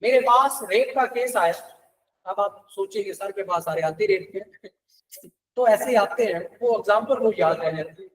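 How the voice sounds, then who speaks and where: A man's voice: sits high, moderate pace, recorded from a distance, poor audio. A Pakistani man giving a classroom law lecture in a conversational way, mixing in English terms and checking points with rhetorical questions.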